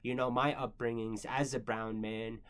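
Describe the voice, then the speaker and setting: A man in his thirties, from the Philippines, with English as his second language. He talks in a loose, rambling way, full of "you know" and "um", with frank swearing now and then. His pitch stays narrow and level, at an ordinary middle height. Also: flat delivery, moderate pace, a bit bright, smooth. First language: not English. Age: 30s. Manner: rambling, filler-heavy, frequent "you know" and "um". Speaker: creator answering viewer questions. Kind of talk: casual personal monologue.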